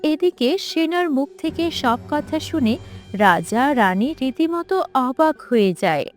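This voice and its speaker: A woman's voice: high-pitched, very animated, unhurried, faint music behind. A woman narrator reading a scripted fairy tale for a cartoon.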